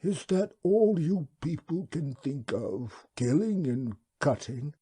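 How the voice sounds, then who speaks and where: A man voicing a ponderous, courteous old alien creature that talks slowly and formally. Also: on the high side, expressive, warm tone, husky, voice pushed up, heavily acted. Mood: alarmed. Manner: slow, courteous, drawn-out speech. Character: ponderous, polite, ancient pig-like alien creature.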